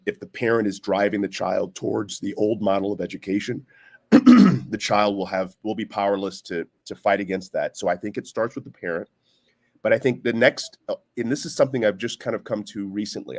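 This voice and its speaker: A man with a slightly low voice talks on a panel in a conversational, explanatory way. He moves haltingly as he searches for the right words and poses rhetorical questions to make his point.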